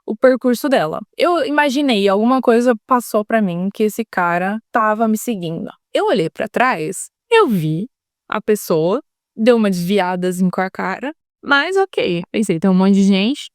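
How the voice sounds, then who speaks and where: A woman's voice: mid-range voice, animated, moderate pace, clear, resonant tone, dry recording, studio quality. A young adult woman with a São Paulo Brazilian accent, earnestly retelling a frightening experience in a vlog, quoting her own thoughts dramatically. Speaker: vlogger. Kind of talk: storytelling vlog.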